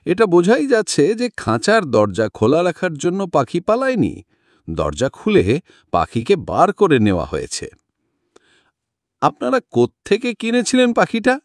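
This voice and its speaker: A man's voice: high voice, wildly swooping pitch, measured pace, no echo, clean studio sound, pitched above the performer's own voice, heavily acted. A man voicing a composed private detective in an audiobook, analytical and assured as he speaks. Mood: deliberate, analytical. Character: sharp, composed male private detective questioning witnesses.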